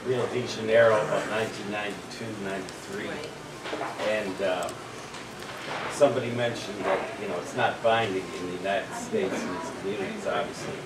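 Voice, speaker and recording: A man speaking slowly and hesitantly as an audience member at a meeting. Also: on the low side, steady tone, background noise. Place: meeting room. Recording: echoey room, distant mic, loud crowd.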